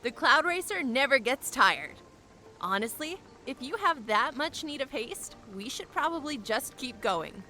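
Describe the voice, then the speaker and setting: A woman's voice: high-pitched, very animated, unhurried, sharp tone, slight background noise, heavily acted. A woman playing a confident, breezy young airship pilot with a casual, self-assured manner in a fantasy audio drama.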